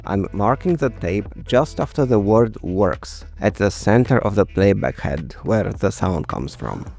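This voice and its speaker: Measured male explainer voice over music. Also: on the low side, expressive, vocal fry, a little mellow. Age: 30s to 40s. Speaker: video presenter. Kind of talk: scripted explainer narration.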